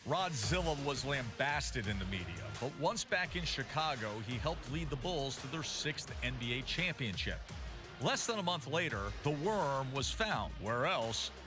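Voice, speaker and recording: A man's voice: medium pitch, sing-song, unhurried, over music. A man narrating a tribute video in a scripted, dramatic style.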